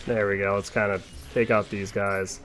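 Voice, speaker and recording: A man's voice: on the low side, little pitch movement, normal speed, fairly bright tone. A man giving casual, rambling commentary over a video game playthrough, chatting with playful asides. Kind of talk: casual gameplay commentary with tangents.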